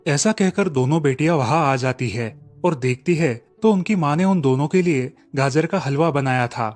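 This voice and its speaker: Casting: male storyteller for animated tales, slightly high register, scripted delivery, light musical bed. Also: moderate pace, soft background music. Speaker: narrator. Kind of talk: scripted storybook narration for cartoon.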